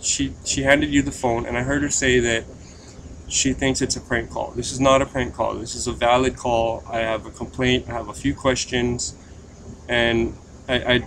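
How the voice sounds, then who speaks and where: A man chats casually, as if live streaming or on a prank call. His delivery is halting, with repeated words. There is faint noise behind him. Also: medium pitch, little pitch movement, a bit bright, low-quality recording. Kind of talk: casual livestream chat and prank phone call.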